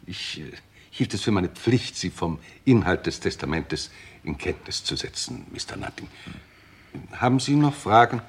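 An actor playing a formal, circumspect lawyer in a German radio crime drama, speaking precisely and deliberately. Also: male voice, low-pitched, big pitch swings, husky, full character voice. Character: formal, circumspect male lawyer reporting a will.

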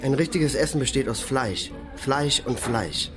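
An actor playing an opinionated, hot-tempered, meat-loving band musician with a blustering, pompous manner in a radio play. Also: male voice, medium pitch, animated, unhurried, over music, close to an everyday voice. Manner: pompous pronouncements, coarse cursing.

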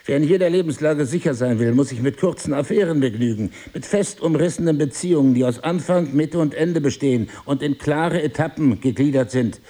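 A wounded, devoted husband in middle age, played by a male actor, speaks with a slightly husky voice. He reasons deliberately and articulately, like someone lecturing his wife, with an ironic edge. It is intimate dramatic dialogue from a stage play. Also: fairly high pitch, warm tone, natural voice. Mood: impassioned, lecturing. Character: reproachful yet devoted husband, wounded, philosophizing crime writer.

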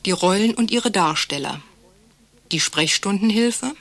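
A woman's voice: medium pitch, expressive, unhurried, faint music behind. A woman formally announcing the cast of a radio play.

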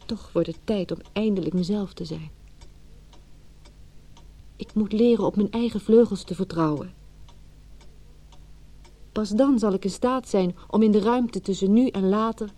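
Breathy, anxious wife played by a woman, dark-voiced. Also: medium pitch, measured pace, long pauses, lightly acted. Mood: brooding, resolute. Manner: hesitant, intimate, reflective. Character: anxious, doubting wife torn by fears. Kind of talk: radio drama acting with inner monologue.

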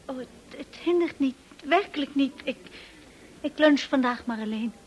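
An actress playing a shy, naive young paid companion in a radio play, hesitant, timid and polite. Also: female voice, high voice, normal speed, muffled recording, a little hiss or hum, natural voice. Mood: flustered, apologetic. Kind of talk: radio drama acting.

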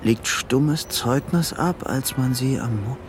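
A man's voice: medium pitch, takes it slowly, noisy background, close to an everyday voice. An actor playing a troubled, delusional man on the run, murmuring his thoughts to himself in a radio drama, often half-whispering. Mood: shaken, despairing. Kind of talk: radio drama inner monologue.

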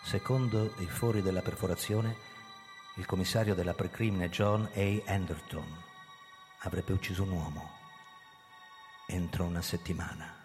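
An actor playing a proud, guarded older police commissioner who narrates his own story in a theatrical, measured radio drama style. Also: low-pitched, steady tone, lightly acted. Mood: grave, troubled. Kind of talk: live radio drama reading with music. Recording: reverberant hall with music behind. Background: music.

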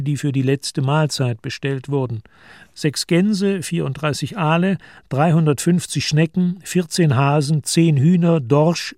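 A man narrating a German radio play as scripted literary storytelling, in a measured and deliberate manner. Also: fairly high pitch, darkish tone, no room sound, studio quality.

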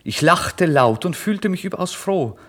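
A man giving a dramatic first-person literary narration in a theatrical manner that moves between hushed and excited. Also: sits high, measured pace. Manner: theatrical, swinging from hushed murmurs to excited outbursts.

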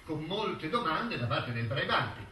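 A man's voice: fairly high pitch, big pitch swings, measured pace, pushed and bright, heavily acted. An actor playing an insistent, accusatory male lawyer who hammers a witness with repeated questions in a theatrical courtroom drama. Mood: probing. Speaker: stage actor. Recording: roomy, distant stage recording with faint noise.

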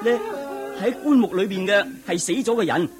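A man's voice: high voice, lively intonation, moderate pace, music in the background. A man acting a prince's loyal chariot driver in a Cantonese radio drama, deferential and explaining things plainly. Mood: frank.

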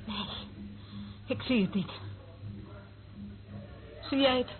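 An actress playing a weary, cynical surveillance worker in a radio play, speaking in a dry, dismissive way. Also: female voice, high voice, slow. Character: weary, cynical woman working as surveillance eavesdropper. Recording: noisy, tinny band-limited old radio recording.

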